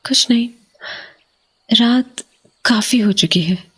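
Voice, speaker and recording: A woman acting a slow, breathy, uneasy wife. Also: fairly high pitch, exaggerated voice, poor audio. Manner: hesitant, hushed, lingering on words. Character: uneasy, suspicious young wife fixated on the mysterious. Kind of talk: Hindi horror audio drama, acted dialogue.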